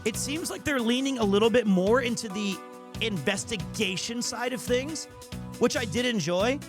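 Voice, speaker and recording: Casual male game streamer over loud music. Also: very high-pitched, animated, normal speed.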